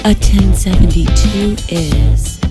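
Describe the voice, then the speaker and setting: A woman playing a cold, seductive police commissioner, breathy and condescending, speaking deliberately in a comedy radio drama. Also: lowish voice, loud music behind the voice.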